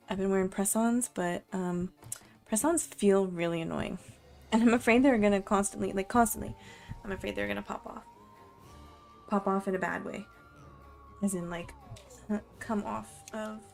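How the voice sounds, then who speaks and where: A woman's voice: medium pitch, creaky, a little mellow. A young woman chatting casually during a live art stream at home, rambling and self-deprecating as she sets up. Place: home room in Japan.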